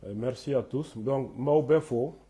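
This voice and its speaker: A man, hoarse from a cold, hosting a live talk in French and Bambara with many hesitant fillers. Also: mid-range voice, slow, dark tone. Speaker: host of a live online talk.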